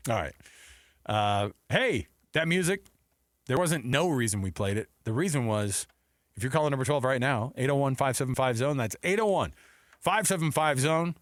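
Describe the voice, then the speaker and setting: Animated male sports radio host. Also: mid-range voice, normal speed, no echo. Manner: jokey, animated storytelling. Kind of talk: casual chat. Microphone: close studio microphone.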